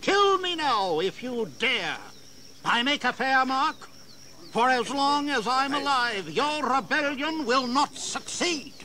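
High-voiced, proud old colonial governor. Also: male voice, lively intonation, slow pace, bright, forceful tone, full character voice. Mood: defiant. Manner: declamatory, formal. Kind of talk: historical audio drama acting. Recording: audible background noise and ambience.

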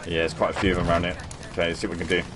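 A man's voice: sits low, level tone, rapid-fire, very noisy. A young man chatting casually while streaming a shooter game and answering his chat. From United Kingdom. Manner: chatty, laid-back, reads and answers chat. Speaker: gaming livestreamer. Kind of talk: casual live commentary over gameplay.

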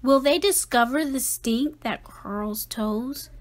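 Slow, high-voiced woman reading a storybook. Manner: dramatic, deliberate phrasing. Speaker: storybook reader. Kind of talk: expressive children's read-aloud of rhyming picture book.

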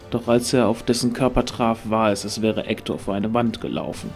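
A male narrator with a mellow, warm voice of medium pitch. He reads a dramatic fantasy story as scripted narration.

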